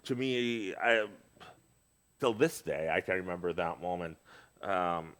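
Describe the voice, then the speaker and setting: Deep-voiced middle-aged guy from lebanon, halting casual chat, lots of uhs. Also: a bit bright, clear, resonant tone. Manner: hesitant, many fillers and restarts. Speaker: guest. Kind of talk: casual conversation.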